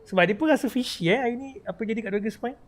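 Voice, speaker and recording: A man's voice: extremely high pitch, very fast. A man with a Malaysian accent giving casual, chatty live gaming commentary as a streamer, explaining things in slangy speech. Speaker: game livestreamer.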